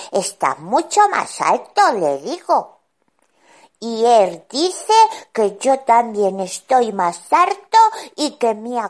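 A cheerful little boy with a Spanish accent rambles through his tale, as a woman voices him, repeating himself over and over. His voice sits high and swoops up and down in a bouncy sing-song. He takes his time, letting each phrase unspool slowly. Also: full character voice. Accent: Spain. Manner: rambling, retelling dialogue with lively repeats. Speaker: regular children's figure on a radio show. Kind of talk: children's radio storytelling chat.

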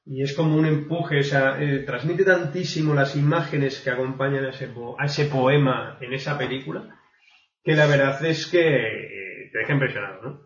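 A man's voice: mid-range voice, echoey room, far from the mic, highs cut off. A man in casual radio chat who hesitates a lot and uses many fillers. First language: Spanish. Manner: halting speech full of fillers, recites slowly and with emphasis. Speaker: guest poet. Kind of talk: radio chat and poetry recital.

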